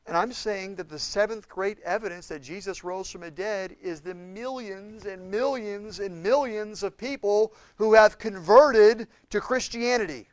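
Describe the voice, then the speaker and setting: Man preaching, fired up then quiet, slightly high voice, dry sound. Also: a little slow, hardly any pauses, dry recording, rough recording. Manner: impassioned preaching, swings from loud to hushed. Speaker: pastor. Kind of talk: sermon.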